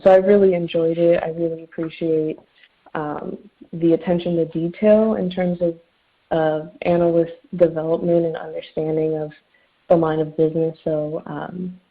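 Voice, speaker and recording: A woman's voice: lowish voice, little pitch movement, moderate pace. A young woman in her twenties introducing herself on a webinar panel, chatty with lots of ums. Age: 20s. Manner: chatty with many fillers. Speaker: webinar panelist, underwriting analyst. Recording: tinny phone-like line.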